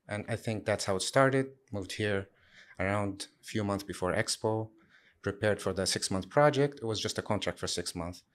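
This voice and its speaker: A man in his thirties from Syria, answering interview questions in a relaxed way with many fillers and restarts. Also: low voice. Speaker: guest, sustainability lead.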